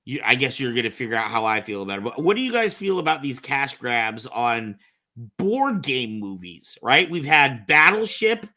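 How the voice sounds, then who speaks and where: Bright-voiced man hosting a casual chat. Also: medium pitch, big pitch swings, moderate pace. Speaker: podcast host.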